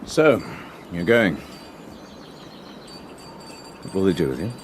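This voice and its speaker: An actor playing a blunt, gruff man in a radio drama. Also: deep voice, very animated, slow, a little hiss or hum, exaggerated voice. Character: gruff older Englishman, blunt but kind.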